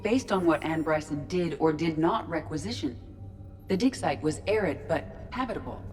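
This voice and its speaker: Calm, precise female ship AI. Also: deep voice, soft background music. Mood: measured, matter-of-fact. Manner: measured, formal, analytical. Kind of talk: video game dialogue.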